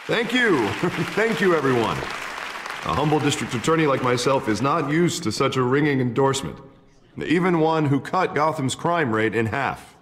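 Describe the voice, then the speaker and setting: A man's voice: mid-range voice, animated, normal speed, a bit bright, a faint crowd, natural voice. An American man acting as an upbeat, polished district attorney running for mayor in a video game. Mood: rousing, grateful. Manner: polished, jovial speechmaking. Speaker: politician campaigning for mayor. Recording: music behind the voice.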